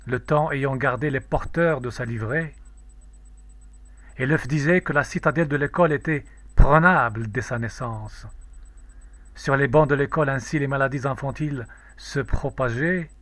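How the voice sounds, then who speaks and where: A man reciting a poem in a measured, declamatory, solemn way. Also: mid-range voice, a bit bright, no echo. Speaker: poetry reader.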